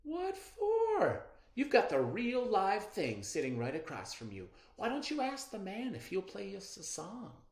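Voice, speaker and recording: A storybook reader voicing a brisk, folksy grandmother who corrects her grandson. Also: mid-range voice, huge pitch swings, roomy sound, voice pushed up. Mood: encouraging. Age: older. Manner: folksy, emphatic, no-nonsense.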